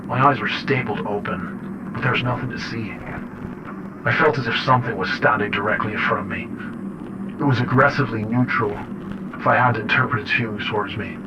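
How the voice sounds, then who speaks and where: A man playing an unsettled father who records a horror audio diary, speaking in deliberate, reflective and halting phrases. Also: medium pitch, fairly even intonation, lightly acted. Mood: uneasy, haunted. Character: unsettled father recording eerie journal notes. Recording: muffled, noisy radio-like audio log.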